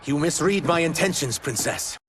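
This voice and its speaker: An actor playing an earnest, defiant rebel fugitive in a video game, speaking in a grave, declamatory way. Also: male voice, a little high, animated, normal speed, noisy background, lightly acted. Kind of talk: video game cutscene acting. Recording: loud music behind the voice. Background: loud dramatic music.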